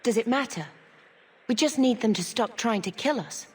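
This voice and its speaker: Earnest, higher-voiced younger sister. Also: female voice, lively intonation, moderate pace, slightly rough. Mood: worried, questioning. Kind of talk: dramatic video game acting.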